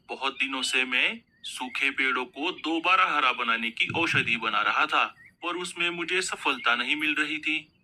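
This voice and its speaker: A man voicing a kindly, excitable owl doctor, an expressive old-style uncle in a children's cartoon. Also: mid-range voice, poor audio. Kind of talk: Hindi children's cartoon dialogue.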